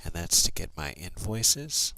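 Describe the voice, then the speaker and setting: A man's voice: low-pitched, very animated, a little slow, sharp tone, slight background noise. A man narrating a step-by-step screencast tutorial in a plain, procedural way, thinking aloud as he works. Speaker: software trainer.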